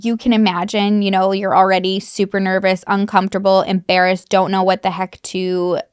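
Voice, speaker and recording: A woman's voice: medium pitch, little pitch movement, moderate pace, smooth, dry recording, clean studio sound. Chatty woman telling a true crime story. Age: adult mother. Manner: chatty, filler-heavy, casual swearing.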